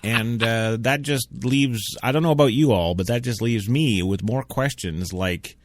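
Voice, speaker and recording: A man's voice: medium pitch, unhurried, background noise. A man hosting a radio show, reading the news with joking asides and stumbling over hard names. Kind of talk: comic news commentary. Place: radio studio.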